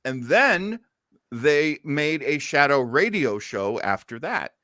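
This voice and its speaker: A man's voice: fairly high pitch, very animated, slow pace, pushed and bright, no room sound. Male podcast host, enthusiastic rambling storyteller, casual delivery, dry close recording. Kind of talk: casual chat about old time radio.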